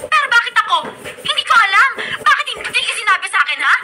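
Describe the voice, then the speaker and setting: A woman's voice: extremely high pitch, very animated, quick pace, very noisy. A woman with a Filipino accent playing a distraught, furious young sister who insistently demands the truth in a radio drama. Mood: anguished, furious. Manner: shouting, insistent, melodramatic. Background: loud dramatic music.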